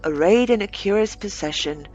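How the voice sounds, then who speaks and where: A woman narrating a scripted short story aloud, with deliberate phrasing and dramatic pauses. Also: medium pitch, expressive, a little mellow, highs cut off, faint music behind.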